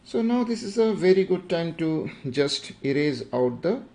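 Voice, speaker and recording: A young man with an Indian English accent teaching a drawing livestream, unhurried and halting, with many fillers. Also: a little high, big pitch swings, a little mellow. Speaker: art tutor.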